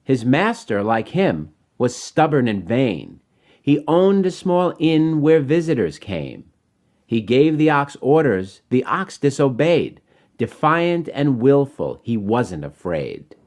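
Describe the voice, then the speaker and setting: Slow, animated man reading a storybook. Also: mid-range voice. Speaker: author reading his own children's story. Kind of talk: expressive storybook narration in rhyming verse.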